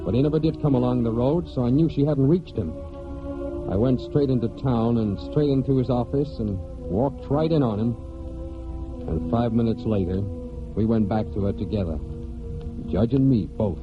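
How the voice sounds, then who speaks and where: An actor playing a proud, hot-tempered young country man in 1940s radio drama, with folksy rural speech and dramatic pauses. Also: mid-range voice, natural voice. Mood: rueful, brooding. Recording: dull 1949 radio recording, music behind.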